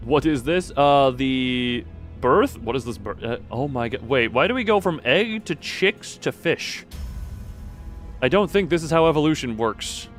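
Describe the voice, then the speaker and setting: A man's voice: mid-range voice, expressive, unhurried. Male game streamer, mid-range voice, casual and rambling, reads lines aloud, music underneath. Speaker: livestream host playing a game. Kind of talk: casual livestream commentary, reading game dialogue aloud.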